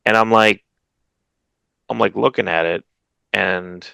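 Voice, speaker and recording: A man's voice: slow, gravelly, bright, forceful tone, clear, resonant tone, no room sound, poor audio. A man in his thirties co-hosting a comics podcast from home on a headset, rambling casually with lots of restarts. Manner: rambling, many restarts, low-key. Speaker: podcast co-host. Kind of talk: casual chat about comics news. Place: home room, video call.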